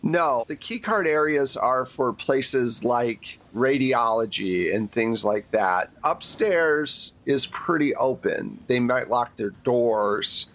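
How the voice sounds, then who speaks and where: A man's voice: medium pitch, lively intonation, takes it slowly, hardly any pauses, a bit bright. Casual man running a tabletop game. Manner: casual, profane, conversational storytelling. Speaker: game master. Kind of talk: narrating a tabletop role-playing game.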